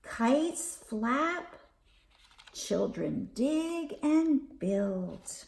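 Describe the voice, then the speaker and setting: A woman hosting a children's storytime, reading aloud and leading counting rhymes in a slow, expressive, sing-song way. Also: on the high side, creaky. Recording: echoey room with faint background hiss.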